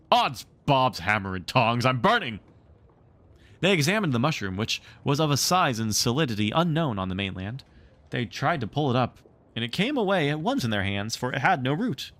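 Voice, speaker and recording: A man reading a story aloud as an expressive narrator, shaping each sentence for listeners. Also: mid-range voice.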